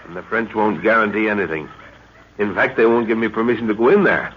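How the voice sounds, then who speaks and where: An actor playing a seasoned expedition leader and father in an old radio drama, speaking with deliberate authority. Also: lowish voice, lightly acted. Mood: measured, determined. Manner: authoritative, deliberate, thoughtful hums. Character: seasoned, commanding expedition leader and father, middle-aged or older. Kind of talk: 1940s radio acting. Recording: noisy, dull old radio recording.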